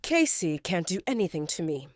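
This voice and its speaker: Woman doing a stern alpha wolf guy, short guarded replies, low-ish voice, dry audiobook mic. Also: big pitch swings, moderate pace, no room sound. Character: stern young male alpha werewolf leader.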